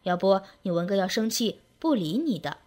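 A woman's voice: mid-range voice, big pitch swings, moderate pace, pitched below the performer's own voice. A woman voicing a weary village father of fifty, coaxing and apologetic, in a story reading. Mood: placating. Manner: coaxing, hushed, sighing.

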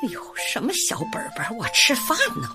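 An actress playing a grumbling, impatient wife at home in a Chinese radio drama. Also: female voice, on the high side, big pitch swings, a little fast, over music.

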